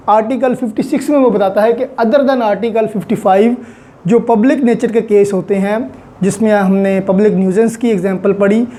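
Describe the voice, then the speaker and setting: Dark-voiced Pakistani woman giving a lecture. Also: mid-range voice. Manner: methodical, repeats key points in short phrases. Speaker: law lecturer. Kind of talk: explanatory lecture reviewing legal articles.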